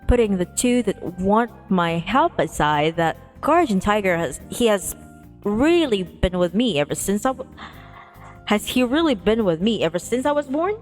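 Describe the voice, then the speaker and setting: A woman's voice: medium pitch, big pitch swings, moderate pace. A woman livestreamer reading a visual novel aloud expressively, with playful casual asides. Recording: music behind the voice. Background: music.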